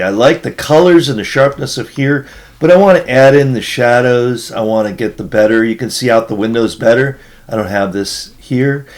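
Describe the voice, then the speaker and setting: A man with a hoarse voice from being sick, casually walking through a photo edit step by step as a tutorial host. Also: medium pitch. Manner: casual, rambling, talks through each step. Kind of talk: screen-recorded editing tutorial.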